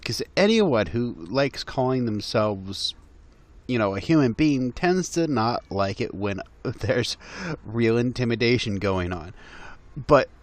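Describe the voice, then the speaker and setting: A man giving exasperated, mocking reaction commentary on a video, laughing through his remarks. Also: medium pitch.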